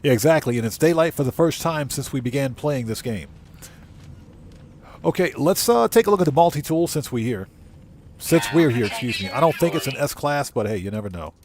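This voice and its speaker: A man's voice: medium pitch, animated, brisk, darkish tone, a little hiss or hum. A man livestreaming a video game, giving casual commentary and muttering to himself as he plays. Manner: muttering to himself while playing.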